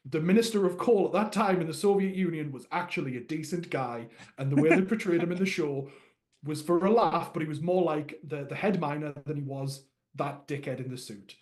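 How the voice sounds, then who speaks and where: Northern English male co-host, casual and laughing, slightly higher voice. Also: darkish tone. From Northern England. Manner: chatty, quick to laugh. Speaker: podcast co-host. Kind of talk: casual chat.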